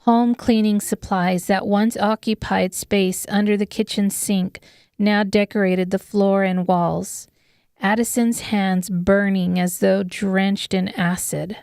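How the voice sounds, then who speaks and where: Slow, smooth woman narrating dark fiction. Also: medium pitch, level tone, no room sound, studio quality. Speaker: audiobook narrator. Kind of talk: scripted dramatic story narration.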